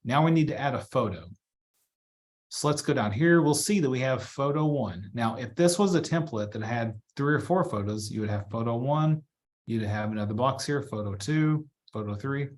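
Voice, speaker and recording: A man with a mid-pitched voice leads an informal training walkthrough. He talks in a chatty, casual way, thinking out loud as he moves through each step. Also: lively intonation, moderate pace. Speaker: real estate trainer. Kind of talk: casual live software walkthrough.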